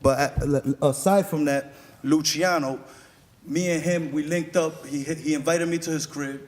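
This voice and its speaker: A man's voice: on the high side, fairly even intonation, normal speed, a little mellow. A man who is a rapper guest chatting casually on a podcast, telling stories in a loose, slangy way with lots of restarts. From United States.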